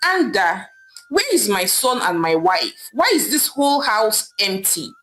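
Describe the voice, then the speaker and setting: A woman's voice: a little high, big pitch swings, measured pace, a bit bright, soft background music, low-quality recording. A woman voicing a worried, impatient older male head of household with a Nigerian accent. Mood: worried, demanding. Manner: demanding, impatient questioning. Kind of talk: storytelling character voice.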